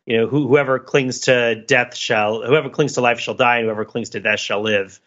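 A man's voice: medium pitch, steady tone, normal speed, fairly bright tone, dull audio. A man co-hosting a podcast, talking through a film in a casual, rambling, analytical way. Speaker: podcast co-host.

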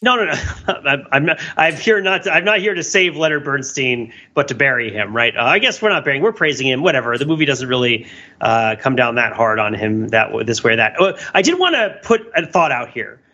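A man talking through film and music on a discussion podcast, analytical and rambling, with animated asides. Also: medium pitch, brisk, lo-fi sound. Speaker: podcast panelist.

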